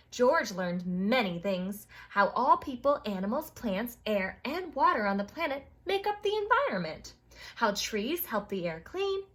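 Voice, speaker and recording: A woman reading a picture book aloud to young children, expressive, with chatty exclaiming asides to her listeners. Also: a little high. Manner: expressive, addresses children, exclaims and reacts to the story. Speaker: children's storytime reader.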